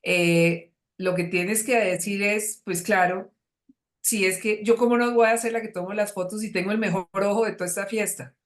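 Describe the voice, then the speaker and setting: A woman's voice: mid-range voice, steady tone, moderate pace. A woman hosting a webinar, chatting in an encouraging, playful way with a participant. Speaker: webinar host. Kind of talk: warm conversational webinar talk.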